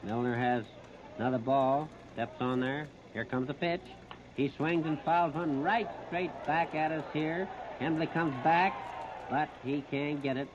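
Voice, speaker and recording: A man's voice: a little high, steady tone. Muffled, noisy vintage American baseball radio announcer, male. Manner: clipped, step-by-step narration in short phrases with pauses. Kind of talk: 1939 live radio sports commentary. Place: outdoor ballpark, broadcast position behind home plate. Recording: muffled, noisy 1930s radio disc recording.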